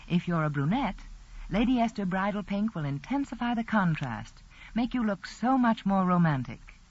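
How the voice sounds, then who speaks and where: A woman's voice: fairly low pitch, husky. A woman reading a 1940s radio commercial in a polished, persuasive scripted style. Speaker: advertising spokeswoman. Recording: dull vintage radio broadcast.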